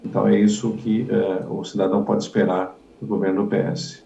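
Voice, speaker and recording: Halting low-voiced man in an echoey room. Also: little pitch movement, gravelly, warm tone, slight background noise. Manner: halting, repetitive, many fillers. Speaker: politician interviewee. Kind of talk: political interview answer. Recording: dull echoey room audio.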